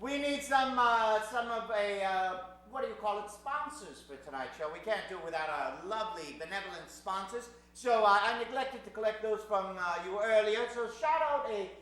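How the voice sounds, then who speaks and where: A man's voice: high-pitched, normal speed, hardly any pauses, fairly bright tone. A man hosting a live improvised radio show on a theater stage, showy and mock-formal in an old-time radio manner, joking with the crowd. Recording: echoey theater stage recording.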